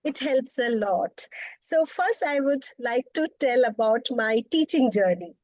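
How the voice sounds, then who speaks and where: A middle-aged woman from Bengal with an Indian English accent, a poet and professor speaking as a guest, often laughing and thoughtful with pauses. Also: on the high side, lively intonation, a little mellow, no room sound, muffled recording. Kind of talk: interview conversation.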